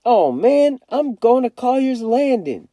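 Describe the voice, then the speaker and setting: A stubborn young storybook boy, acted by a man, pitched high and loud. He is whiny and defiant, with swooping indignant shouts. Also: very animated, takes it slowly, no echo, studio quality, full character voice.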